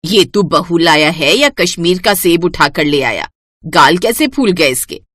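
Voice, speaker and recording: Woman as sharp-tongued mother-in-law, middle-aged, scolding, sarcastic, animated intonation, cartoon story. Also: lowish voice, measured pace, no room sound, clean studio sound. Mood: surprised, bossy.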